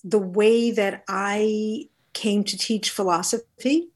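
A woman speaking as an interview guest who teaches philosophy, telling reflective stories in a measured, deliberate and articulate way. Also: mid-range voice, fairly even intonation. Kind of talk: reflective interview conversation, storytelling.

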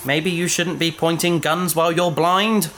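An actor playing a bookish, curious young adventurer who talks eagerly, like a lecturer. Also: male voice, fairly high pitch, steady tone, moderate pace, background noise, natural voice. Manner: enthusiastic, lecturing. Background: music.

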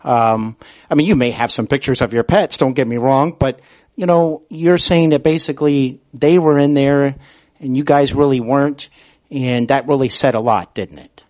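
A man's voice: mid-range voice, normal speed, darkish tone. American man hosting an interview podcast. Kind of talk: conversational interview.

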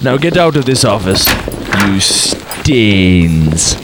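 A man's voice: lowish voice, sing-song, takes it slowly, heavy background noise. A man playing a pompous, menacing college teacher in a comic audio drama, pedantic and grandly scornful.

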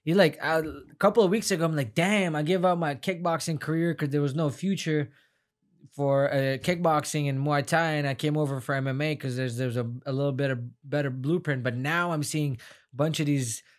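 A man's voice: on the high side, fairly even intonation, a little fast. A young man from Afghanistan, a non-native English speaker whose first language is Farsi, talking casually as an interview guest, rambling and repeating words in a heartfelt way. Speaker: guest fighter.

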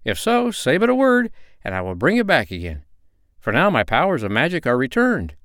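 A man's voice: fairly high pitch, huge pitch swings, brisk, fairly bright tone, no room sound, clean studio sound, pitched above the performer's own voice, exaggerated voice. A man voicing a fiery but kindly little old magical man in a storybook, exclaiming in formal, old-fashioned phrases. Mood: earnest.

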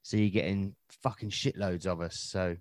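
Sweary bloke co-hosting a podcast. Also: male voice, sits low, fairly even intonation, moderate pace, darkish tone, dry recording, clean studio sound. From England. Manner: blunt, sweary banter. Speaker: podcast co-host. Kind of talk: casual chat.

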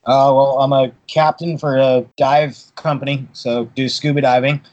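A man's voice: mid-range voice, little pitch movement, moderate pace, a little mellow, lo-fi sound. A man who grew up in Boston, a dive boat captain, telling stories in an easygoing way as a guest on an interview. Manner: easygoing storyteller, repeats words as he thinks.

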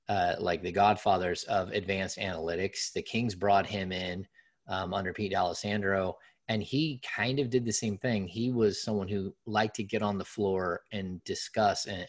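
A man hosting a sports podcast with a raspy voice, talking loosely and conversationally with lots of fillers and restarts. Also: fairly low pitch. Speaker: podcast host. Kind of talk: casual sports podcast talk.

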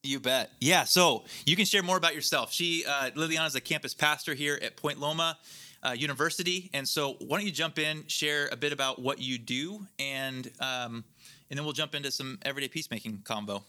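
Upbeat man hosting, bright tone. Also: a little high, brisk. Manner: upbeat, rambling, self-correcting. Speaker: podcast host, clergy. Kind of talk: podcast interview hosting.

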